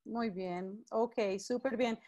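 High-voiced woman teaching on a video call. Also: slow, a little mellow. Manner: friendly, directive, calls participants by name. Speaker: teacher leading an online class. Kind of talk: live online class, guiding participants.

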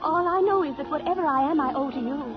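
An actress playing an excitable, devoted young opera pupil, fluttery and halting, in 1940s radio style. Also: female voice, sits high, close to an everyday voice. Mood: rapturous. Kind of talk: 1940s radio drama acting. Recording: dull vintage radio recording with music.

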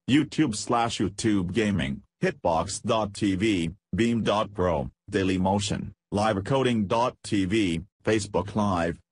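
A man reading a scripted, step-by-step software tutorial as its narrator. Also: lowish voice, husky, dry recording.

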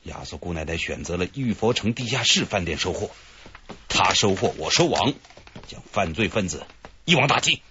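A cocky cheery cop in a radio play, husky, bright tone, kinda muffled audio. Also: male voice, low voice, very animated, moderate pace, a little hiss or hum, heavily acted. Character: cheerful, boastful middle-aged male police detective. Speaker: police detective leading the operation. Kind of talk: broadcast radio drama acting.

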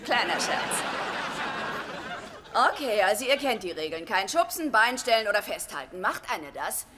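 An actress playing a brash, no-nonsense gym teacher in a dubbed sitcom, brisk, blunt and bossy. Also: female voice, mid-range voice, big pitch swings, close to an everyday voice. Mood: commanding. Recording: very noisy, busy crowd behind.

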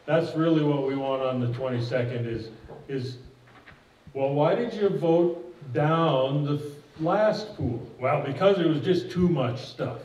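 A man's voice: on the high side, measured pace, darkish tone, a little hiss or hum. An older man speaking as a project manager at a public meeting, folksy and plainspoken, often restarting his phrases. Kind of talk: informal public presentation with audience questions. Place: stage of a hall with curtains. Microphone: podium mic, at a distance. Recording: echoey hall, mic at a distance, dull sound.

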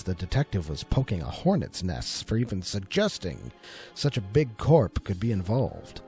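A man's voice: lowish voice, warm tone, slightly rough. A man reading scripted, dramatic story narration as the narrator.

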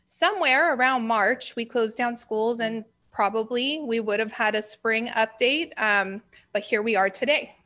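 Woman director presenting to the board, bright forceful voice, a touch high. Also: unhurried, few pauses. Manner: projecting, strong voice. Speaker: special education director.

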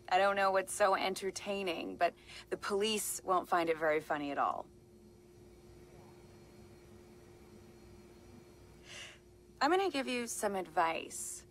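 A woman's voice: mid-range voice, lively intonation, moderate pace, fairly bright tone. A woman playing a worried, stubborn younger sister and young mother in a naturalistic video game scene, plain-spoken and conversational. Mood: irritated, firm. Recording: music under the voice.

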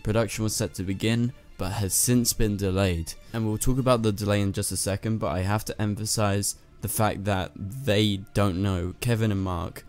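A young man with a hoarse, worn-out voice, hosting a chatty commentary video and talking straight to his viewers. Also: lowish voice, steady tone, normal speed, few pauses. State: lost his voice. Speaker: channel host. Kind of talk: casual commentary to camera. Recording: soft music underneath.

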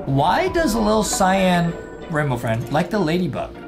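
Animated high-voiced young man gaming. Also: moderate pace, vocal fry. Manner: animated, repeats phrases, addresses viewers. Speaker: gaming video host. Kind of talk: excitable gameplay commentary. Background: music.

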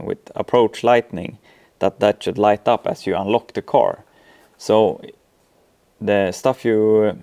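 Low, creaky-voiced Swedish man. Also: mellow. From Sweden. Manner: hesitant, halting delivery with frequent ums. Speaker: tutorial presenter. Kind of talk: informal software walkthrough.

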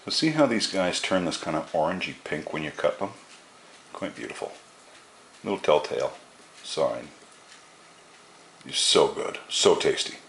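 A man foraging outdoors in a shady forest, explaining his finds to a handheld camera in a relaxed, rambling way with many ums and restarts. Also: very deep voice, unhurried, pauses often, a little hiss or hum. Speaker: foraging vlogger. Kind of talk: casual show-and-tell narration.

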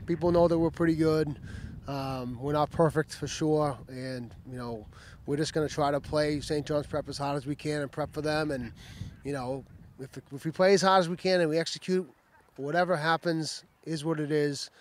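Higher-pitched man, football coach after a game. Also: fairly even intonation, normal speed, a little hiss or hum. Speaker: high school football head coach. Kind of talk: casual postgame interview. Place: outdoor football stadium field at night. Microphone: handheld microphone held at chest.

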